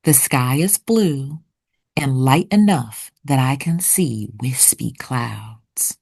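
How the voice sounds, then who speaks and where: A woman reading a children's picture book aloud expressively, with deliberate storytelling pauses. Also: rumbling low, animated, vocal fry, a little mellow, breathy edge. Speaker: children's read-aloud narrator.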